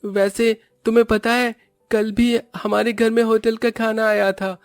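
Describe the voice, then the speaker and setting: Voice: mid-range voice, level tone, unhurried, dry recording, soft background music, close to an everyday voice. A voice actor playing a boastful, taunting rich young boy in a cartoon story. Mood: smug, friendly. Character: boastful rich young boy who loves hotel food.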